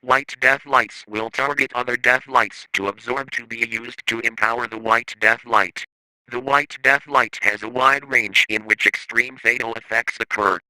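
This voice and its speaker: Male narrator for analog horror informational piece: formal, instructional, sharply bright tone, dry close sound. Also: medium pitch, clean tone, no room sound, poor audio.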